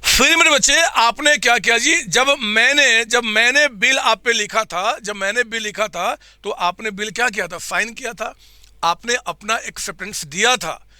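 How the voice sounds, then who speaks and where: A man teaching accounts in a live online class, with a slightly hoarse throat, quizzing his students and answering for them in a coaxing way. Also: very high-pitched, moderate pace, sharp tone, dry recording.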